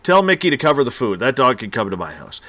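A man's voice: medium pitch, sing-song, quick pace, a bit bright. A man with a Canadian accent hosting a late-night radio show, laid-back and joking.

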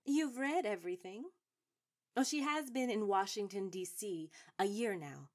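An actress as a proud, seasoned suffragette of the 1910s in a radio drama. Her voice sits at a middle pitch, and she speaks in formal, declarative sentences with shrewd authority. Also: animated, a little slow, lightly acted. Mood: measured, emphatic. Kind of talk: stage-trained radio drama acting.

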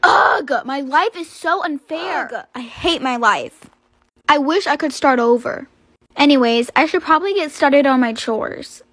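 A woman as a high-voiced, put-upon teenage heroine. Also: expressive, a little slow, fairly bright tone, dry recording. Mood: aggrieved, then sulky. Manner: earnest, complaining.

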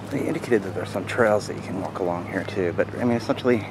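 A man casually narrating as he walks through a waterfront park, talking in a relaxed, offhand way with fillers. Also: lowish voice, quick pace, noisy background. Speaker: vlogger walking a park. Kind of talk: casual walking commentary. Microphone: handheld camera while walking. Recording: loud outdoor ambience.

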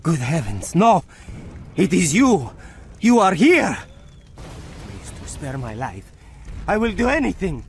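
High, flustered, cowardly governor. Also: male voice, huge pitch swings, slow, pauses often, a little hiss or hum, full character voice. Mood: terrified. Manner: theatrical, pleading. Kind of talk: video game cutscene acting.

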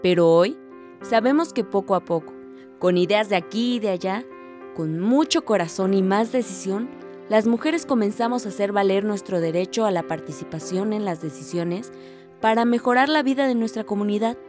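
A woman's voice: mid-range voice, animated, normal speed, darkish tone, faint music behind, natural voice. An actress playing a reflective young village woman narrating her story in a radio drama.

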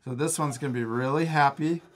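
A man's voice: on the high side, brisk, slight background noise. Encouraging man teaching drawing. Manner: encouraging, chuckling often. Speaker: drawing instructor and host. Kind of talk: casual step-by-step drawing lesson for children. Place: indoor studio, at a table.

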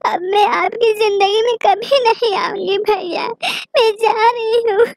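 A woman's voice: very high-pitched, steady tone, slow, gravelly, no echo, voice pushed up, full character voice. A woman voicing a sad, childlike little-sister bird in a children's cartoon, pleading and plaintive. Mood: tearful, hurt. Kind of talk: Hindi children's cartoon dialogue.

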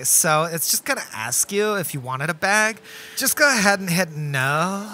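A bright-voiced, officious store cashier. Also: male voice, a little high, slight background noise. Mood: officious, chipper. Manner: rattles through scripted prompts in officious customer-service tone. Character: relentlessly chirpy, pedantic male electronics store cashier. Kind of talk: sketch comedy radio play acting.

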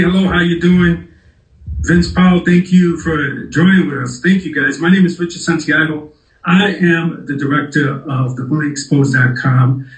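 A man introduces himself and his nonprofit's work, speaking in a measured, earnest way. His voice sits a little high for a man and carries a clear echo from the room. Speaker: nonprofit film director. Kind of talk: interview introduction. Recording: echoey room.

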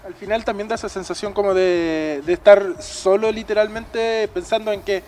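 A man asking questions as a field news reporter, in a halting way with repeated words. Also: high-pitched, steady tone, a little mellow. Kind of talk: live interview questioning. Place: outdoors at a restaurant terrace. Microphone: handheld reporter microphone. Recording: outdoor street noise with traffic.

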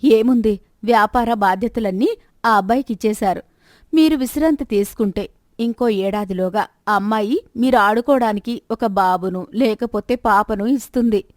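A woman's voice: on the high side, normal speed, no echo, studio quality, close to an everyday voice. A woman voicing a persistent, practical middle-aged wife of a rich merchant in a moral story, chiding and persuading. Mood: assured, persuasive.

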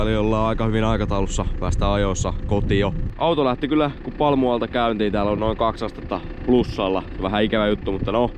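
A young man with a fairly low voice talks casually as a travel vlogger. Clear background noise of vehicle and outdoor ambience sits behind his speech. The delivery is informal, like someone recounting a trip to viewers. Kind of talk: casual travel vlog narration.

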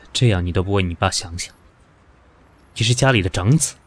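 An actor in an audio drama playing a cool, sharp-tongued company boss with a dry, cutting way of speaking. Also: male voice, sits low, normal speed, a little hiss or hum, lightly acted.

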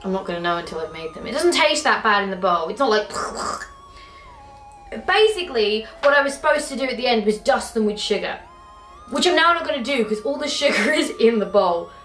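A woman's voice: a little high, huge pitch swings, moderate pace, a bit bright. A young British woman chatting casually through a home cooking vlog in her kitchen, rambling and poking fun at her own mistakes. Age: young adult. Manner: self-mocking, rambling, chatty. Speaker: cooking vlogger. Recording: echoey kitchen with faint music.

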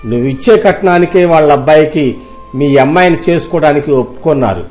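Man acting a high-voiced, earnest village uncle. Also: quick pace, darkish tone, faint music behind, lightly acted. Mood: cheerful, encouraging. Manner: earnest, moralizing. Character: wise, kindly middle-aged village uncle, philosophising and reassuring. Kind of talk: dramatized moral story dialogue.

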